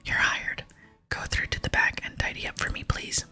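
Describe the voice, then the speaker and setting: A woman's voice: moderate pace, pushed and bright. Whispering welcoming shopkeeper, read by a woman. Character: male food shop owner, welcoming, easily impressed employer. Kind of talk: whispered ASMR game dialogue reading.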